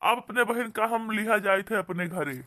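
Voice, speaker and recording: Man playing a scolding cartoon brother-in-law, Awadhi accent, protective, indignant, sharp high voice. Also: normal speed. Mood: angry, distrustful. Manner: scolding, argumentative. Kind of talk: amateur comedy cartoon dialogue.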